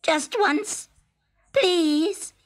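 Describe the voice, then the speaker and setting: Croaky, high, pleading little frog. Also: female voice, very slow, exaggerated voice. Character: small green frog, plaintive, pleading and persistent. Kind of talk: storybook character acting.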